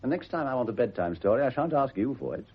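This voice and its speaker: An actor playing an urbane, unflappable upper-class English gentleman in a radio drama, polite and drily witty, with a husky voice. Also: medium pitch, sing-song, fast. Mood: dry, amused. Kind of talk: British radio drama acting. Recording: dull, lo-fi radio recording.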